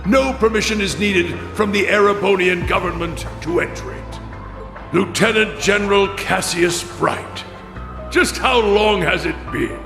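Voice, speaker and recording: A man playing a commanding, calculating chancellor in a video game cutscene, speaking with formal, measured courtesy. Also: high voice, creaky. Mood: coolly courteous. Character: commanding, calculating imperial chancellor addressing rivals. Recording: echoey hall reverb, music behind. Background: music.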